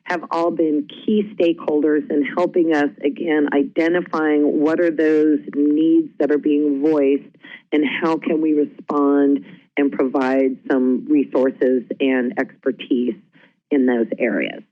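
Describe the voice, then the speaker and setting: A woman's voice: deep voice, flat delivery, slow pace. Woman presenter on slightly dull lo-fi audio. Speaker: webinar presenter, healthcare quality specialist. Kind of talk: conversational webinar presentation.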